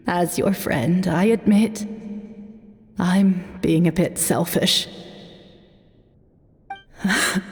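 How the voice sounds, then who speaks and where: Game casting: frail old female artisan, breathy, very unhurried, gentle and wise, in long reverb. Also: fairly low pitch. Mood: tender, frail. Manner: unhurried, solemn, tender. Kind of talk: video game voice acting.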